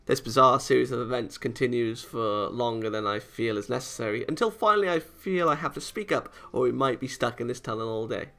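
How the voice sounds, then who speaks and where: A man reading visual novel narration aloud as a let's player, in an expressive, dramatised way. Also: medium pitch, fairly quick, creaky, hardly any pauses, faint music behind. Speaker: video game let's player.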